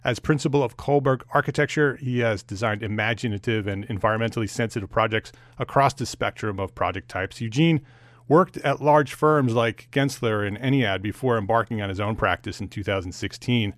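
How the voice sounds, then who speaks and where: A man's voice: mid-range voice, moderate pace, no room sound. A man working as a podcast host, delivering scripted introductions and interview questions with an emphatic, promotional manner.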